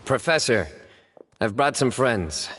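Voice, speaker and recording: A man playing a serious, composed young investigator in a video game, speaking in a measured, formal, deadpan way. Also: medium pitch, long reverb tail, slight background noise. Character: serious, composed young male investigator, former student of scholar.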